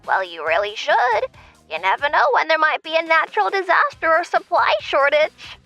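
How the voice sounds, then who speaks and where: Woman voicing a bossy, animated mother-in-law. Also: high-pitched, moderate pace, creaky, muffled recording, soft background music, full character voice. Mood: probing, insistent. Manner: stern, lecturing, blunt. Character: bossy, nagging middle-aged mother-in-law. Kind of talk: manga dub dialogue.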